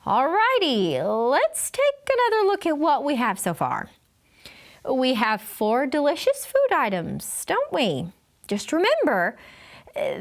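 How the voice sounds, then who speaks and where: A woman voicing a patient, bemused male first-grade teacher in a storybook, with a halting, gently questioning manner. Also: sits high, pitched above the performer's own voice, full character voice. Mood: encouraging.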